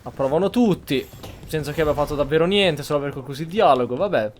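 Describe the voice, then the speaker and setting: A man's voice: fairly high pitch, very fast, slight background noise. A man from Italy, casually commenting on a roleplay video game as he streams. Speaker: gaming streamer.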